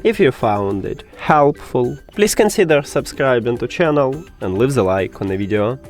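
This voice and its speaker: A man's voice: medium pitch, animated, moderate pace, faint music behind. A man hosting a how-to tech video, talking the viewer through instructions step by step in a direct, instructional way. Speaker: host of a tech troubleshooting tutorial. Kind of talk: spoken step-by-step tutorial.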